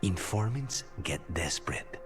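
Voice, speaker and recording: Game role: brooding assassin, deep and guarded, quietly intense, faint music under. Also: male voice, lively intonation, measured pace. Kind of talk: video game acting.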